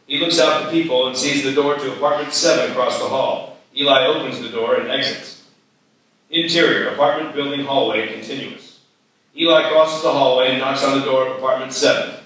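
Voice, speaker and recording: A man narrates stage directions at a live script reading. His voice is bright and comes from away from the mic, in a very echoey hall with some ambient noise. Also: mid-range voice, steady tone, fairly quick, distant mic.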